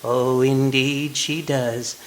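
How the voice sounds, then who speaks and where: An actor playing a meek, cheerful henpecked husband in a polite, prim manner, in old-time radio comedy style. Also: male voice, mid-range voice, level tone, slow, natural voice. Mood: mildly resigned. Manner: polite, mild, prim delivery. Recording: live stage recording with background noise.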